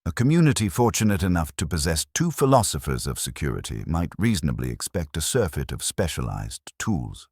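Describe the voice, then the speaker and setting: A man voicing a mild, shrewd police inspector in a mystery story, formal and quietly ironic. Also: a bass voice, sing-song, brisk, hardly any pauses, breathy edge, dry recording, clean studio sound, exaggerated voice. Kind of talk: storybook mystery character dialogue.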